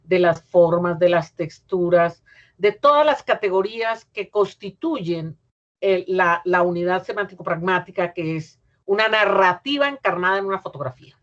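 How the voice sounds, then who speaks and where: A middle-aged Colombian woman, a university professor, giving an academic lecture over a video call, measured and explanatory, with frequent pauses and self-corrections. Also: on the low side, lively intonation. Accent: Colombian Spanish. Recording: muffled lo-fi video call audio.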